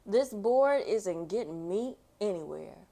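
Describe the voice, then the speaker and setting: A woman's voice: medium pitch, lively intonation, takes it slowly, vocal fry, warm tone. A woman reads as a young skateboarder kid from a storybook. He is slangy and discouraged, putting emphatic frustration into his words. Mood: frustrated.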